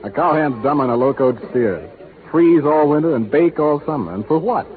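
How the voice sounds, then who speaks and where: An actor playing an easygoing young American cowhand with casual, folksy speech in a 1930s radio western. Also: male voice, on the high side, animated, moderate pace, a faint crowd, lightly acted. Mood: grumbling, casual. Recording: tinny vintage radio recording.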